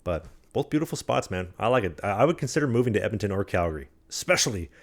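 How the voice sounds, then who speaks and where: A man's voice: fairly low pitch, expressive, fast talker, gravelly. A man co-hosting a podcast, joking and laughing through casual chat. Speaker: podcast co-host. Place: apartment recording studio.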